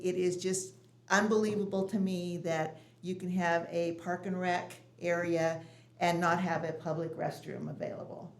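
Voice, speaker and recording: Woman, lowish voice, indignant public commenter in an echoey hall. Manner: indignant, halting with restarts. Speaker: public commenter at a city commission meeting. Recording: echoey meeting room.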